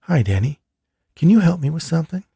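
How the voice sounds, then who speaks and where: Man voicing a gentle, higher-pitched ghost woman. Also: very animated, a little fast, vocal fry, husky, no echo, heavily acted. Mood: coaxing. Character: gentle, coaxing female ghost befriending a child. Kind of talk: audiobook character reading.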